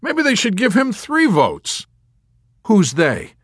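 Excitable, suspicious lieutenant acted by a male reader: very high for a man, swooping, exclamatory. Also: takes it slowly, breathy edge, no echo, clean studio sound, exaggerated voice. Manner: exclamatory, demanding.

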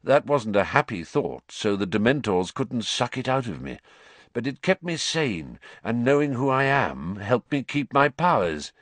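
A man voicing a bitter, vengeful male wizard who speaks in a low, growling, venomous way. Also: sing-song, a little slow, fairly bright tone, dry recording. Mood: brooding.

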